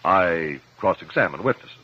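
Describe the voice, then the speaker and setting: An actor in a 1950s radio drama playing a principled cross-examiner, measured, articulate and pressing. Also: male voice, sits low, animated. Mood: calm, explanatory. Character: probing, principled male courtroom cross-examiner. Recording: muffled vintage 1950s radio recording.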